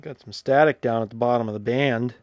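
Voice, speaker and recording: A man presents a repair job in a casual, unscripted way, thinking aloud as he works. His delivery is informal and halting, with muttered asides. His voice sits at a medium pitch and is recorded close and dry, with very little room sound. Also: steady tone, no echo. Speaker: vintage radio repairer presenting his own video.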